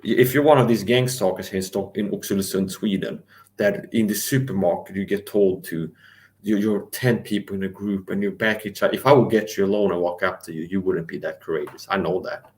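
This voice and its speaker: A man with a very low, deep voice holds forth in an angry, defiant livestream rant. He swears freely, repeats himself and challenges his listeners directly. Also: steady tone, brisk. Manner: angry, defiant, profane, repetitive. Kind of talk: ranting live monologue.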